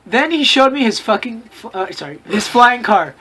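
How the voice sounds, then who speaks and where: Animated heckling reader with noisy background. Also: mid-range voice, normal speed. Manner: animated, exclamatory, breaks off to heckle the text. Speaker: co-host reading fan fiction aloud. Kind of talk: mocking read-aloud with casual commentary.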